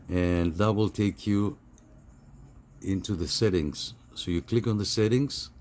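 Low-voiced man giving a halting tutorial. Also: darkish tone. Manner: halting, step-by-step delivery. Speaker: tutorial host. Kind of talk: casual step-by-step phone app tutorial. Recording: clear background noise.

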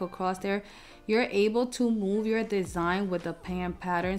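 A woman hosting a craft software tutorial, explaining each step in an informal, casual way. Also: fairly low pitch.

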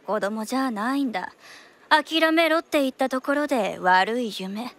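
A woman's voice: a little high, expressive, moderate pace, a bit bright. A woman playing a breezy, worldly young former courtesan in a radio drama, casual, frank and teasing. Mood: wry.

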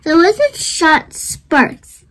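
Storybook reader, child, very high voice, unhurried deliberate phrasing, expressive read-aloud.

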